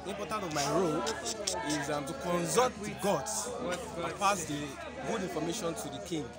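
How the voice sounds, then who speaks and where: A young man, a stage actor, answering interview questions in a halting, deliberate way outdoors. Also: a little high, loud music behind the voice. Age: young adult. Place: open outdoor yard among crowd. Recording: loud crowd chatter outdoors.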